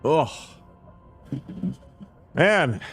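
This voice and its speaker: A man's voice: a little high, big pitch swings, very slow, husky, faint music behind. A man streaming a video game, chatting casually and crudely with his viewers and poking fun at himself. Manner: joking, crude, self-mocking. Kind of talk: casual gameplay commentary to chat.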